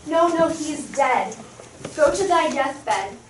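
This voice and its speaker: A woman's voice: high voice, lively intonation, takes it slowly, a little hiss or hum. A woman acting a young noblewoman driven mad by grief, drifting between speech and snatches of song, recorded from far off in an echoey hall. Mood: distracted. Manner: wandering, sings snatches of song. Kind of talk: stage acting of verse drama.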